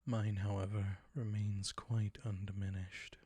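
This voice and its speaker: A man playing a suave, predatory ancient vampire count in an intimate roleplay audio drama, soft and breathy, courtly and condescending. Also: fairly low pitch, flat delivery, no room sound. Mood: menacing relish. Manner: courtly, condescending, slow and savoring.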